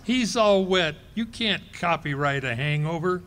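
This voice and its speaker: A man's voice: on the high side, lively intonation, a little slow, fairly bright tone, a little hiss or hum. A man playing a brash, wisecracking middle-aged husband in a live radio play.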